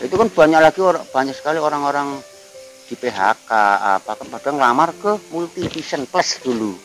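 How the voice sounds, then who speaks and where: A man's voice: on the high side, brisk, fairly bright tone, soft background music, low-quality recording. Older man with a Ngapak Javanese accent. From Banyumas region, Central Java. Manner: rambling, musing, chuckles often. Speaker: guest, veteran TV actor. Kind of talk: casual reflective chat. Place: outdoor garden table at night.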